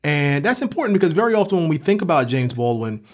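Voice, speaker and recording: A man's voice: on the high side, very animated, quick pace. A man co-hosting a literary podcast, reflective and scholarly but colloquial in his phrasing. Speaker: podcast co-host and professor.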